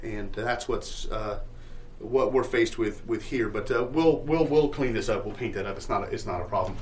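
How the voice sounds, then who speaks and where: A man playing an evasive, sales-hungry landlord in an improvised comedy sketch, with halting, stammering salesman patter full of euphemisms. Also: on the low side, slight background noise, close to an everyday voice. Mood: rambling, reassuring. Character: evasive, sales-hungry male landlord showing shabby rental bungalow.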